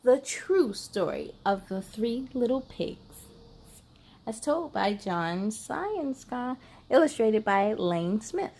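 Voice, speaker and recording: Storytime reader: woman, expressive and deliberate, slow pace with big swings in pitch, for children's read-aloud. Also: creaky, roomy sound. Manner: expressive, deliberate, dramatic phrasing.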